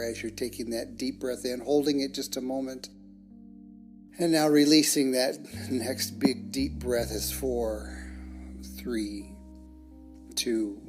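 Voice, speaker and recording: A person leading a guided meditation in a measured, soothing manner, with long pauses between phrases. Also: mid-range voice. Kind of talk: guided meditation, scripted narration. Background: soft plucked guitar music.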